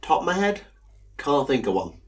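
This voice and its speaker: A young man co-hosting a casual podcast, talking haltingly with plenty of ums and uhs. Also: fairly high pitch, big pitch swings. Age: young adult. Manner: hesitant, lots of ums and uhs. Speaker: podcast co-host. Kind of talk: casual chat.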